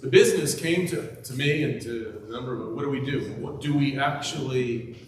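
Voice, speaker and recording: A man speaking on a panel, with a loud voice, a casual manner and self-deprecating asides. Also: medium pitch, normal speed, slight background noise. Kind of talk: conversational panel talk. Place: event hall at a hospital. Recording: echoey room, far from mic.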